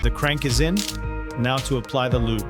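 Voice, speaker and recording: A man's voice: mid-range voice, steady tone, moderate pace, loud music behind the voice. A man working as a car restoration mechanic, calling out each step in short, clipped, procedural phrases. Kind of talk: short step-by-step workshop commentary.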